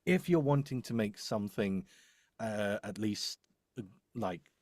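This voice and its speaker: Low-voiced man, rambling and explaining. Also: expressive, measured pace, a little mellow, no room sound, studio quality. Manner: rambling, hesitant, thinking aloud. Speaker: voice-over demo producer. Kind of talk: casual explanatory chat.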